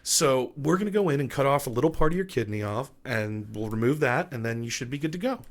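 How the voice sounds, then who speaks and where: A man's voice: medium pitch, fast. New york guy, dj on a podcast, chatty storyteller, acts out the quotes, middle voice. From Long Island, New York. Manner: animated storyteller who acts out quoted dialogue. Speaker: DJ and podcast guest. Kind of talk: casual storytelling interview.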